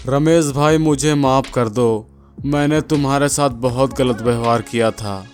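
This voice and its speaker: A man's voice: mid-range voice, a little slow, soft background music, natural voice. A man voicing a greedy, scheming rich village moneylender in a moral-story cartoon, bossy and wheedling.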